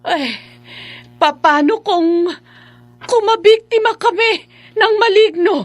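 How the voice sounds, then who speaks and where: A woman's voice: extremely high pitch, slow, no room sound. An actress playing an anxious, superstitious middle-aged mother with a hesitant manner in a Filipino horror radio drama. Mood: fearful. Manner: fretful, hesitant.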